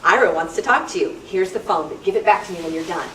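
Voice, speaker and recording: A woman's voice: lowish voice, quick pace, fairly bright tone, close to an everyday voice. A woman playing an exasperated teenage girl babysitter, bossy but caring, in a live script reading of a spooky radio play. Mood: placating. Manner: scolding, exasperated, placating. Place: club meeting room, live reading. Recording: roomy distant microphone, noisy meeting room.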